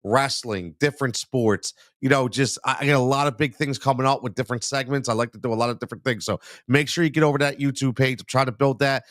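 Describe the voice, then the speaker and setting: A congested man hosts a live sports talk stream, circling back over his points and tossing in man every few lines. His voice sits in an ordinary middle pitch, recorded close and dry with no room sound. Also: fairly even intonation, fast, hardly any pauses, fairly bright tone, dry recording. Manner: rambling, repeats himself, says man a lot. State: congested from a cold. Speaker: sports show host.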